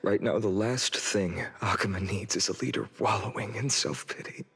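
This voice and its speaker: A stoic young leader from a fantasy game, weighed down and serious. His delivery is restrained and very husky, with music behind it. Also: on the low side, normal speed, a bit bright, exaggerated voice. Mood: restrained, grieving. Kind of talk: scripted game voice acting.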